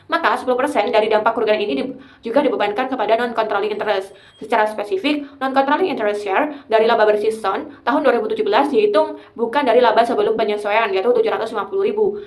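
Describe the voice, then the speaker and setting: A young woman giving an explanatory class presentation as a university student, partly reading it out. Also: on the high side. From Indonesia. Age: young adult. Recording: roomy echo.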